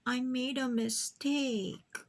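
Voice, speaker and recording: A woman's voice: sits high, steady tone, takes it slowly, voice pushed up. A woman voicing an anxious, earnest young schoolgirl in a children's storybook, with a plaintive manner. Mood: mumbling, sheepish.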